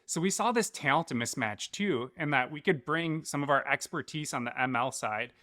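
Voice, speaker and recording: A man's voice: a little high, lively intonation, normal speed, bright, forceful tone, dry recording, studio quality. A man speaking as a startup co-founder and interview guest, explaining his work in conversational business talk.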